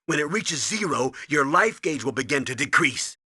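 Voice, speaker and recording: Male stern game commander, middle-aged, husky, hard-edged, urgent, emphatic, dry studio sound. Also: fairly high pitch, lively intonation, moderate pace, pushed and bright, no echo, full character voice. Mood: instructing. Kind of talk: video game voice acting.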